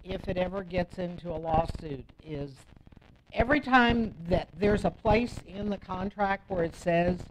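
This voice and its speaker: A woman's voice: on the low side, unhurried. A woman teaching a real estate class in a blunt, conversational, emphatic way, acting out bits of client dialogue as she explains. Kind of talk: informal classroom instruction with role-played client dialogue. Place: training room with attendees. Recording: muffled, noisy classroom recording.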